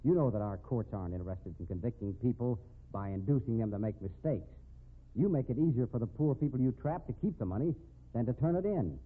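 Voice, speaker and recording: A man's voice: medium pitch, expressive, quick pace, husky. An actor playing a confident, tough man cornering a crook in a 1950s radio drama, sardonic and hard-boiled. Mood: stern, accusing. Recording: narrow phone-like old radio recording.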